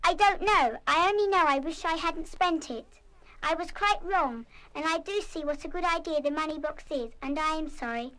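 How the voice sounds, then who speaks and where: A woman's voice: high-pitched, normal speed, a bit bright, no room sound, rough recording. A woman playing an earnest, remorseful, kind-hearted but defiant schoolgirl in an audio radio play. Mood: pleading, remorseful. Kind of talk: full-cast audio radio play acting.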